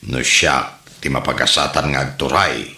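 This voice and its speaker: A man's voice: a bass voice, normal speed, sharp tone, roomy sound, exaggerated voice. A man playing an authoritative political boss who gives commanding instructions in a comedy audio drama. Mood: instructing. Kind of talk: comedy radio drama acting.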